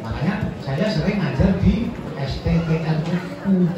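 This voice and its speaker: A man's voice: a little high, animated, measured pace. An older man with a Javanese accent, a community elder answering students' questions in a rambling, folksy way. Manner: rambling, folksy, slips into Javanese, counts points off. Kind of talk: informal explanatory talk to students. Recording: echoey hall, far from mic, dull sound, crowd.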